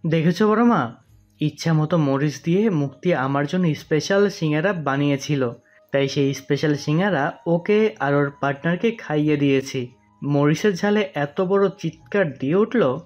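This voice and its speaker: A man's voice: fairly high pitch, normal speed, a little mellow, faint music behind, natural voice. A man playing a sly, teasing young man in an audio romance story. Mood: smug, teasing. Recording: dull, muffled sound.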